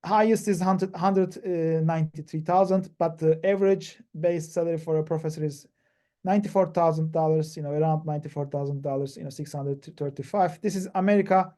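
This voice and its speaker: A man from Turkey giving a webinar presentation on a video call, talking haltingly with many fillers and restarts. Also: fairly high pitch, steady tone, mellow. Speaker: PhD panelist.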